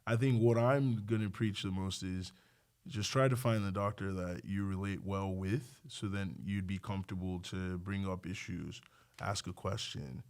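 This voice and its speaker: Low-voiced man in casual conversation. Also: fairly even intonation, normal speed, a little mellow. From Nigeria. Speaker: doctor, podcast guest. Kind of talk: casual interview chat.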